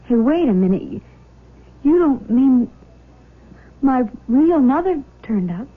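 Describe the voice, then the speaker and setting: An actress playing a shaken but loving nineteen-year-old daughter in a 1970s radio drama, halting and exclaiming. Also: female voice, high voice, big pitch swings, slightly rough, exaggerated voice. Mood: tender, shaken. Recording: dull lo-fi old radio broadcast.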